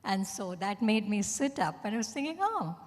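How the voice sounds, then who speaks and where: A woman's voice: medium pitch, sing-song, normal speed. A woman telling anecdotes conversationally as a speaker at a live literary event, chuckling as she talks. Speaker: book editor, panel speaker. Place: large indoor event hall. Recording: echoey hall with long reverb.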